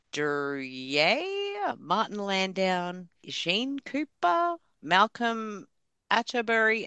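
Aussie woman podcast host, low lively voice, dry close sound. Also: smooth, no echo. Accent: Australian. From Australia. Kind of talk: conversational episode discussion with read-out notes.

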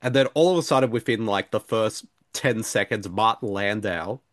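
A man's voice: lowish voice, normal speed, fairly bright tone, no room sound, studio quality. A man chatting casually as a podcast co-host in a jokey, rambling way. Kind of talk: casual discussion chat.

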